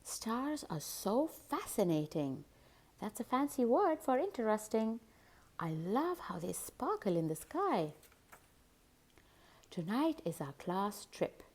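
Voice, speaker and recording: Animated woman reading a children's picture book. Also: a little high. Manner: animated, deliberate storytelling. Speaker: host and read-aloud narrator.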